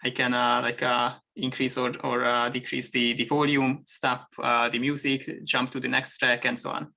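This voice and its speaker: A man with a Hungarian accent and a bright, gravelly voice, speaking over a video call. He chats hesitantly as a guest, leaning on fillers and restarting his sentences. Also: medium pitch, level tone, moderate pace. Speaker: guest engineer. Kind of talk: casual chat.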